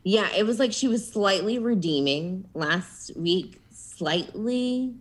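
A woman co-hosting a podcast in casual chat, animated and giggly, with lively storytelling asides. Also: mid-range voice, slow. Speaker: podcast co-host.

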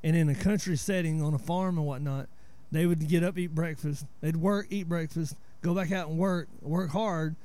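A man's voice: a little high, fairly even intonation, moderate pace, hardly any pauses, warm tone, smooth. A man in his forties with a Southern US accent, hosting a podcast in a laid-back, storytelling way and explaining where local words come from. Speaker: podcast host. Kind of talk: casual chat.

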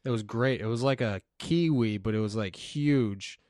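A man's voice: fairly low pitch, normal speed, a little mellow, no echo, clean studio sound. A man hosting a casual podcast, talking in a laid-back, joking way. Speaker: podcast host.

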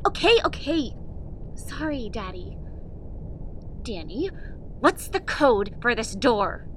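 A woman's voice: on the high side, big pitch swings, slow, background noise. A woman playing a scheming young student in an audio drama, sugary and coaxing one moment and snide the next. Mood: wheedling. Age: young adult. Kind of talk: acted audio drama dialogue.

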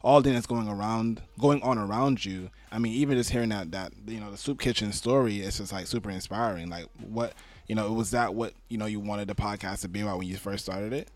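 A young man co-hosting a podcast, speaking in a reflective, halting way with many you-knows. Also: fairly low pitch, steady tone, no echo. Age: young adult. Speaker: podcast co-host. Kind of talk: casual chat.

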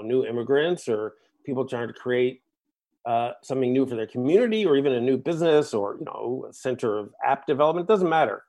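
A man's voice: medium pitch, a little mellow. A man from the United States, a musician being interviewed, talking in a reflective, discursive way and often checking in with "right?" and "you know". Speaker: guest musician.

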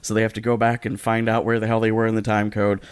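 A man's voice: on the low side, completely flat delivery, fast, smooth, dry recording. A man co-hosting and editing a casual podcast from his living room, chatting in a playful, rambling way with jokey asides. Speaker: podcast co-host and editor. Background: radio sound effects and music.

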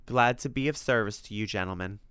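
A man's voice: lowish voice, a little fast, a bit bright, clean tone, no room sound, lightly acted. A man voicing a big, ugly but good-natured storybook monster who talks in a folksy, mock-grand way. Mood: obliging. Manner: folksy mock-grand speech, eager to explain.

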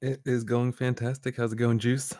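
A man's voice: mid-range voice, level tone, normal speed, dark tone, no room sound. A young man, a streamer guest on a podcast, talking casually with easy laughs and rambling restarts. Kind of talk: casual interview chat.

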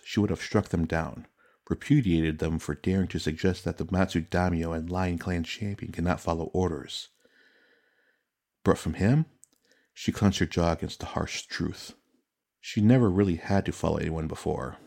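A man with a very low-pitched voice narrates scripted fantasy fiction. His delivery is measured and dramatic, that of an audiobook storyteller. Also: vocal fry, darkish tone.

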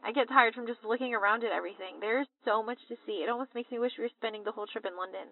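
A woman's voice: on the high side, very fast, a little hiss or hum. Gushing young American woman on a tinny phone. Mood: excited, happy. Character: gushing young American woman travelling in London, chatty little sister. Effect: phone voicemail filter. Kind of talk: audio drama, casual voice message.

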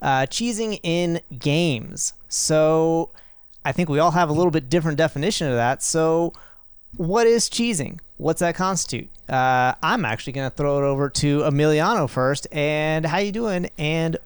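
Bright, clear-voiced podcast host. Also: male voice, on the high side, no room sound, clean studio sound. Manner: upbeat, playful intros, quick rambling asides. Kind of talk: casual podcast chat.

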